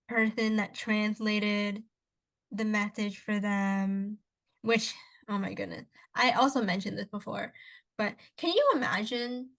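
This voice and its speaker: A woman's voice: mid-range voice, rough recording. Young woman podcast host, cantonese first language, rambly chatty, keeps restarting. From Canada. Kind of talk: casual chat.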